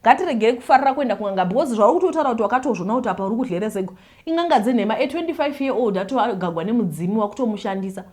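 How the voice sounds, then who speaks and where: A Zimbabwean woman reading a listener's confession aloud and commenting on it, mixing Shona and English in an animated way. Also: a little high, very fast.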